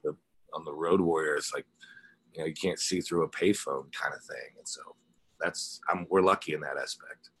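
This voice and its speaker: A man's voice: extremely low pitch, normal speed, a bit bright, husky, rough recording. A man talking casually and conversationally as an interview guest, a rock musician with a laid-back way of speaking. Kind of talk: casual chat, personal anecdotes.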